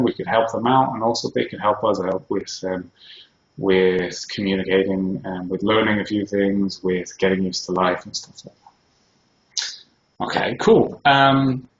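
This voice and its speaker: A man from Scotland with a British accent, talking casually and haltingly as the host of a video show, recorded in his kitchen. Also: on the low side. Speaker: host interviewing himself. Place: home kitchen. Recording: roomy, echoey, dull sound with faint noise.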